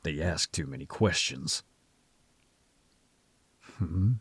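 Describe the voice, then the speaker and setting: A man playing a gruff, protective, teasing werewolf brother with a husky voice and a blunt, guarded way of talking, in an audio drama roleplay. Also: rumbling low, animated, slow, no room sound, full character voice. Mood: guarded, serious.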